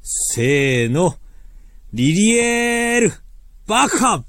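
A man's voice: high voice, very animated, very slow, fairly bright tone, no echo. A man delivering a scripted promotional announcement with dramatic, emphatic hype.

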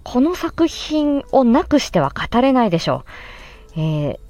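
A woman's voice: fairly high pitch, big pitch swings, a little slow, a little mellow, no room sound, faint music behind. A woman hosting a casual solo audio stream, talking in a chatty, polite, explanatory way. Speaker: host and amateur voice actress.

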